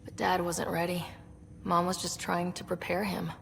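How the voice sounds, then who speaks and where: A young explorer daughter in a game, earnest and touched by grief. Her slightly low voice has a creaky edge and rings in a long reverb. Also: completely flat delivery, moderate pace, a bit bright. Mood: grieving, reflective. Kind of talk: video game voice acting. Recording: reverberant hall, faint noise.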